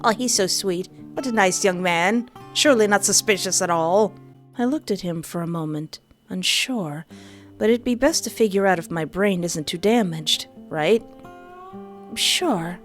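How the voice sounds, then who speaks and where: A woman's voice: mid-range voice, measured pace, faint music behind. Playful woman reading a visual novel. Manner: playful, laughing asides. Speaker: game streamer.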